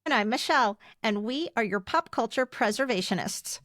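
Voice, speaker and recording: A woman's voice: on the high side, expressive, moderate pace, fairly bright tone, dry recording, clean studio sound. A woman in her fifties talking casually as a podcast co-host. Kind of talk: casual conversational podcast talk.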